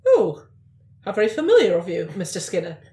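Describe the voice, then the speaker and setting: A woman's voice: mid-range voice, wildly swooping pitch, brisk, dark tone. A woman playing a prim, fussy, pedantic newspaperwoman in a tabletop roleplaying game. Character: prim, fussy, indignant newspaperwoman in floral dressing gown. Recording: roomy indoor echo.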